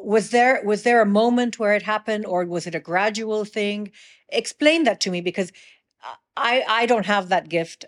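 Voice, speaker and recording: Middle-aged woman hosting a podcast chat, lots of yeahs and sures while she listens. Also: medium pitch. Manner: warm, affirming listener with many short agreements. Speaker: podcast host. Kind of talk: casual interview conversation.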